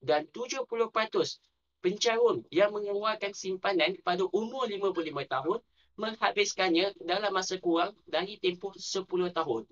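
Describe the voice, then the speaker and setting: A man with a Malaysian Malay accent, a personal finance YouTuber, giving a scripted explainer. He reads figures and statistics in a steady, deliberate way. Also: high voice, muffled recording.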